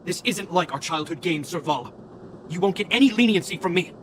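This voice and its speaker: An actor playing a dutiful, stern young guard captain in a video game, speaking in a formal, commanding, earnest way. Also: male voice, high voice, animated, fairly quick, a little hiss or hum. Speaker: military captain. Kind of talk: dramatic video game voice acting. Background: game music.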